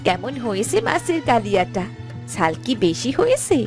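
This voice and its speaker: A woman's voice: fairly high pitch, very animated, normal speed. A woman playing a fussing, scolding village family woman in a cartoon story, with music behind. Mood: fussing, caring. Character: fussing, scolding village women of a family, wife and mother-in-law.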